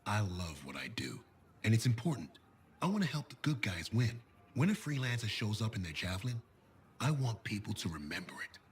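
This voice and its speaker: Lively, theatrical armourer. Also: male voice, lowish voice, a little fast, slight background noise. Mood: passionate. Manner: grandiose, playful, emphatic. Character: passionate, theatrical armour outfitter, a proud showman. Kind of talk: video game dialogue.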